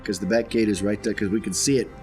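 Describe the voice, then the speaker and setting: A man's voice: fairly low pitch, fairly even intonation, fast talker, a little mellow, faint music behind. A man talking casually as a player in a tabletop roleplaying game.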